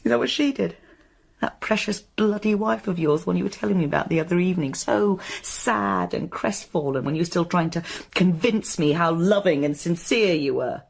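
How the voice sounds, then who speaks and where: An actress playing a bitter, wounded widow in a radio drama, sarcastic and confrontational. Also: female voice, on the low side, creaky, husky, full character voice. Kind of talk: modern radio drama acting.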